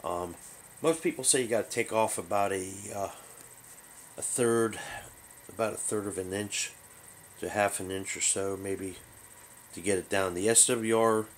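A man hosting a hobby show, giving a casual product overview in a halting, rambling, unscripted way. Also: sits low, a little hiss or hum. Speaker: host of a hobby radio show. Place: small bedroom, indoors.

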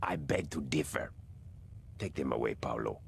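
A man playing a menacing, smug crime boss in a video game, slow and mock-polite as he threatens. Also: very deep voice, animated, gravelly, slight background noise, heavily acted. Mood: smug menace. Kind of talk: video game cutscene acting.

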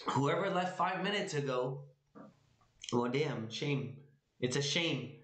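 A man in his thirties live-streaming at a desk indoors, muttering low, then shouting whenever he wins, with his voice going hoarse. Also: animated, slow, slight background noise. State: losing his voice. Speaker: lottery scratch-off streamer. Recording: roomy echo, loud music behind.

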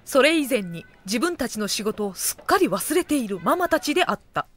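A woman's voice: fairly high pitch, sing-song, brisk, fairly bright tone. A woman narrating a comic anime audio drama as a wry, scripted storyteller.

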